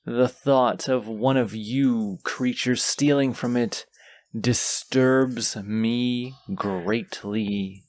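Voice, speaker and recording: A haughty wounded dragon, acted by a man, from a fantasy audio roleplay, guarding its hoard with pompous menace. The delivery is extremely slow and deliberate, lingering on threats at a medium male pitch. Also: fairly even intonation, darkish tone. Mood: haughty, menacing.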